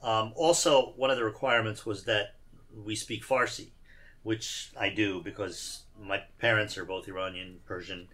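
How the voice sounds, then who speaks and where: Male actor guest, grew up in Iran, bright and forceful tone, laid-back with dry wit. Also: on the low side, fairly even intonation, normal speed. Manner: easygoing, dry humor, many fillers. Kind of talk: casual interview answers.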